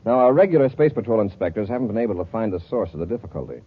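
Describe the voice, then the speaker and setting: An actor playing a steady, authoritative space patrol commander briefing crew in a 1950s radio serial. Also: male voice, lowish voice, rapid-fire, husky. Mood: commanding. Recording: muffled old radio recording.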